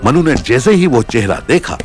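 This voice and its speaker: Lively, expressive male storyteller. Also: medium pitch, moderate pace. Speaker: narrator. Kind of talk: scripted audio story narration in Hindi with English words. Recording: music bed behind voice.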